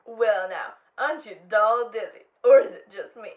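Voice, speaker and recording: A woman's voice: a little high, normal speed, lightly acted. A woman playing a perky 1940s home scientist giving slangy sales patter to housewives on the radio. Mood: chirpy, selling. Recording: dull lo-fi audio.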